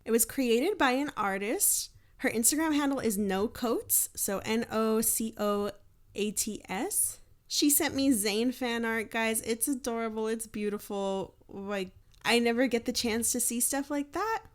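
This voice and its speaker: A woman hosting a podcast, making casual announcements in a chatty, informal, expressive way. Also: on the high side, a little slow. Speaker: podcast host and voice actor.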